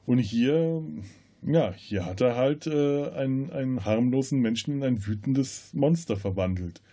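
A man co-hosting a podcast, casually discussing film in a halting, searching way. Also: mid-range voice, lively intonation, warm tone. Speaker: podcast co-host.

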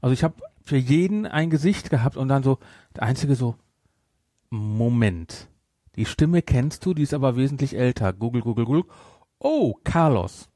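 A man's voice: medium pitch, lively intonation, moderate pace, dark tone, no echo, clean studio sound. A man co-hosting a podcast in casual chat, often breaking off and correcting himself mid-sentence. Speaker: podcast co-host. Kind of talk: casual chat about audio dramas.

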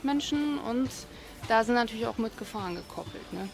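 A woman answers spontaneously in a street interview. Her voice is fairly high and gravelly, with clear music behind it. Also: lively intonation, brisk. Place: outdoors in a city centre.

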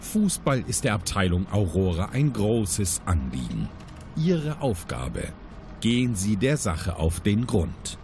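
An actor playing a solemn mission briefer of a secret time agency, formal and grave, with dramatic pauses in a scripted audio drama. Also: male voice, on the low side, huge pitch swings, darkish tone, a little hiss or hum, heavily acted. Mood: grave, urgent.

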